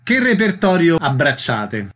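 A man's voice: fairly high pitch, big pitch swings, a little slow, a bit bright, soft background music. A man hosting a radio interview, putting prepared questions in a formal, concise way.

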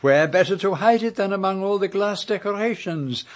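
An actor playing a courteous Belgian detective in a radio drama, with a French-speaking Belgian accent and formal, precise, gallant speech. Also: male voice, high voice, animated, moderate pace. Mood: satisfied, explaining.

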